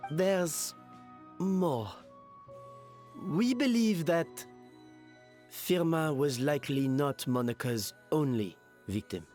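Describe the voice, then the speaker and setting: A man playing a good-natured, expressive kitchen colleague in an anime game. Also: a little high, slow, pauses often, faint music behind. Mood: grave.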